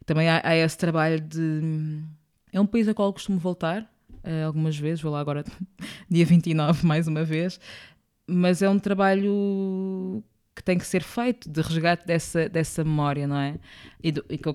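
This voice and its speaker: A woman's voice: low-pitched, level tone, moderate pace, darkish tone, clear, resonant tone. A young woman from Angola, speaking as an interview guest, thoughtful, often circling back and adding small tag questions. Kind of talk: reflective interview conversation.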